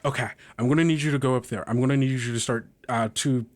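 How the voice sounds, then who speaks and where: A man's voice: mid-range voice, fairly even intonation, fast, lightly acted. A man playing a stressed ship's officer in tabletop roleplay, speaking in urgent, clipped questions and swearing. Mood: frantic, urgent. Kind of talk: in-character roleplay.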